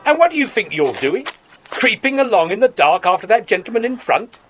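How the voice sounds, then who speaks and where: A woman's voice: mid-range voice, sing-song, a little fast, fairly bright tone, faint music behind. A woman playing a stern, suspicious adult in a children's audio drama, scolding with indignant authority. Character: stern, scolding, suspicious grown-up catching a boy.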